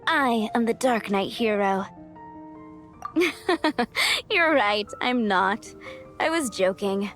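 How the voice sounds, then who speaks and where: An actress playing a playful, self-assured young townswoman in a video game, teasing and deliberate. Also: mid-range voice, lively intonation, fairly bright tone, faint music behind. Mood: teasing, confident. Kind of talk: video game character acting.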